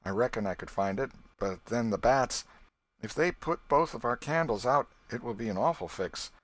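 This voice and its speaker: A man's voice: medium pitch, animated, normal speed, fairly bright tone, no room sound. A man voicing an adventurous small boy in a storybook, folksy and reassuring but unsure. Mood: uncertain. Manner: folksy, colloquial.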